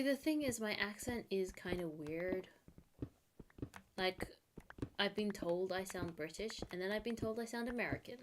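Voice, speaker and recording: Chatty woman streaming over noisy audio. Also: medium pitch. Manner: chatty, rambling, many fillers. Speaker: live streamer. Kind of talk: casual live-stream chat. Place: at home.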